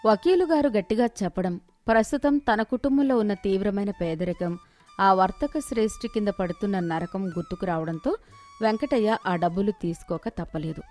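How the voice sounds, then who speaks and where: A woman's voice: mid-range voice, a little mellow. A woman giving scripted narration of a moral story as its storyteller.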